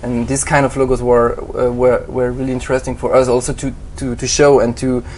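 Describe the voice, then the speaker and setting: Hesitant man with a faint rumble. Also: level tone, brisk, a little mellow, poor audio. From Switzerland. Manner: hesitant, many fillers and restarts, non-native phrasing. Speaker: graphic designer, interview guest. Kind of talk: halting conversational interview answers.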